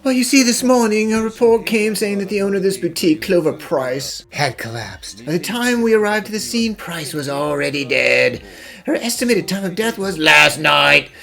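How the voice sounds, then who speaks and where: A woman voicing a haughty, brusque chief police inspector in an animated fan dub, pompous and declamatory. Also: medium pitch, moderate pace, creaky. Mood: official.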